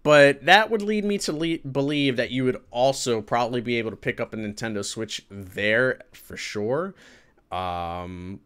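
A man, hosting a livestream and chatting casually with viewers in an animated, colloquial way. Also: mid-range voice, unhurried, clean tone. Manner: animated, colloquial, reacting to chat. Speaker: livestream host. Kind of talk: casual chat with live viewers. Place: indoor room with green screen.

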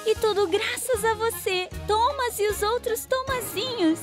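A woman's voice: very high-pitched, unhurried. A woman voicing a friendly, grateful female train engine in a children's cartoon, with a Brazilian accent. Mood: grateful, cheerful. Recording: children's music behind.

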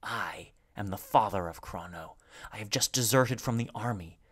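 A man voicing a furtive, intense army deserter in a story, gruff and often whispering, secretive and urgent. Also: fairly low pitch, normal speed, a bit bright. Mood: urgent, hushed. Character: furtive, intense soldier deserter posing as messenger.

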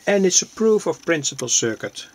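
Male hobby presenter, slightly high-pitched but creaky, plain step-by-step demonstration delivery. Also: big pitch swings, normal speed. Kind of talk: hands-on circuit demonstration, explanatory. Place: small cluttered indoor electronics workbench.

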